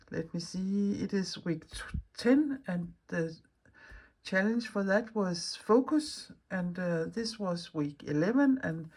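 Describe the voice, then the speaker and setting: A woman from Denmark with a Danish accent, chatting casually and unscripted as a craft vlog host, rambling and searching for words in halting non-native speech. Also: fairly low pitch, a little mellow. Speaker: mixed media artist and video host.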